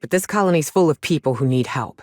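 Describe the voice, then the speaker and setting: A woman's voice: low voice, lively intonation, fast, darkish tone, dry recording. Weary game frontierswoman, plainspoken, cynical, caring underneath, folksy and sweary, dry studio sound. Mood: weary, doubtful. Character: weary, cynical but caring frontier woman, hard-drinking hunter. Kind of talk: video game character dialogue.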